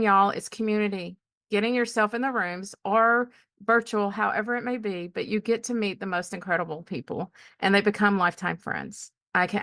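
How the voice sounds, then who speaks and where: Bright dry-voiced podcast host. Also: female voice, medium pitch, no room sound. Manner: warm, encouraging, lots of backchannel. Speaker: podcast host, life coach. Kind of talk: casual interview chat.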